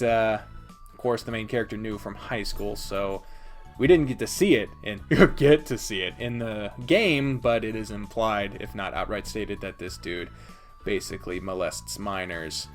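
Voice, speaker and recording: A man's voice: lowish voice, measured pace, soft background music. A man giving a casual, opinionated countdown review as a video host, partly scripted, with a blunt, sweary manner. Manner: sweary, blunt, chuckling asides.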